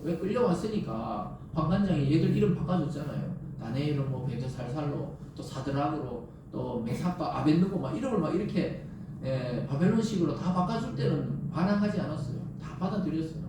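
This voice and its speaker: Male preacher, Gyeongsang accent, fairly high-pitched, explanatory and conversational; very reverberant church sound, picked up from a distance. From South Korea. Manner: explains by acting out everyday dialogue and asking rhetorical questions. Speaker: pastor. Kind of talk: conversational expository sermon. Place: church sanctuary during Sunday service. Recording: echoey church, distant mic, faint noise.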